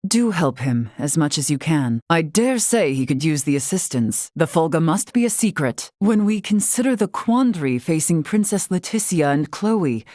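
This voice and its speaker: Wise formal fantasy lady from a video game, low voice, old-fashioned eloquent wording, dry wit, super dry studio sound. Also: big pitch swings, a little mellow, no echo. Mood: composed, earnest. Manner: archaic, measured, eloquent phrasing. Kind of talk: scripted video game character acting.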